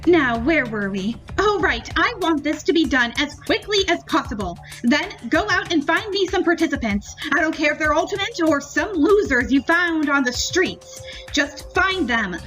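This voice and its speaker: Woman acting a high-pitched bossy villainess. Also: sing-song, normal speed, a bit bright, soft background music. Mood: gloating. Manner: commanding, theatrical. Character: bossy, gleefully evil female mastermind, self-important villain.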